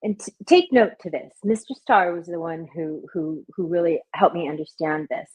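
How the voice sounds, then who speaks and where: Soft, husky-voiced woman hypnotist. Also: on the low side, moderate pace, low-quality recording. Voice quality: soft, soothing. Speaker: hypnotist and party performer. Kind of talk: conversational interview answers.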